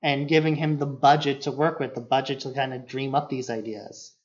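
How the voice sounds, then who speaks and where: A man's voice: mid-range voice, flat delivery, a little fast, dull audio. A man co-hosting a podcast, chatting casually about films with informal, loosely phrased opinions. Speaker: podcast co-host. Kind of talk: casual film chat.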